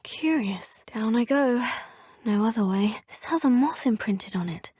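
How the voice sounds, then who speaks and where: A woman's voice: on the high side, expressive, measured pace, darkish tone, breathy edge, no room sound, clean studio sound. An actress voicing an earnest, polite teenage witch student in a game, speaking formally and often murmuring to herself. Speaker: player character. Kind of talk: game voice lines.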